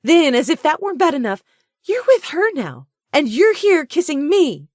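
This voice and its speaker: A woman voicing a jealous, fiery young woman who hurls sharp, scornful accusations in a raised voice. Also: sing-song, normal speed, dry recording, clean studio sound. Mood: furious. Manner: sharp, accusing, high-pitched outbursts.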